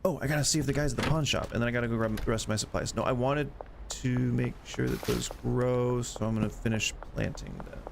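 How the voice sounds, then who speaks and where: Gravelly rambling man in his forties. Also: mid-range voice, quick pace, background noise. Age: 40s. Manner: rambling, self-deprecating, chatty. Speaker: gaming streamer. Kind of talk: casual gameplay chat.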